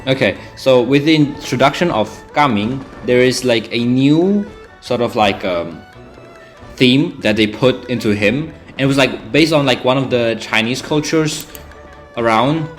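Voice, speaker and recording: A man's voice: mid-range voice, measured pace. A young man, chatting casually through gameplay in a rambling way, full of fillers and restarts. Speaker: gaming channel host. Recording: game music behind the voice.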